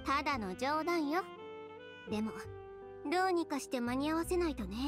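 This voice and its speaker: A woman playing a confident, blunt and determined young fashion designer in an anime video game, speaking in a brisk, decisive manner. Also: sits high, animated. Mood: composed. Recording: game music behind the voice.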